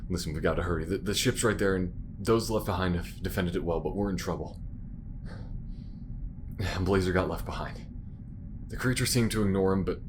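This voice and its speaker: A man's voice: background noise, natural voice. A man playing a weary, principled space rebel in a sci-fi audio drama, speaking in an earnest, emphatic way. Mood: urgent, reassuring. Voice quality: low-pitched.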